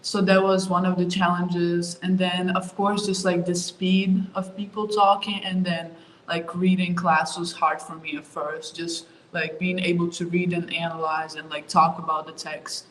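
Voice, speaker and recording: A young woman from Ukraine answering interview questions about her move and her sport, talking in a relaxed way with lots of fillers. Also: on the low side, unhurried, a little hiss or hum. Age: young adult. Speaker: college basketball player, interview guest. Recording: roomy with faint ambience.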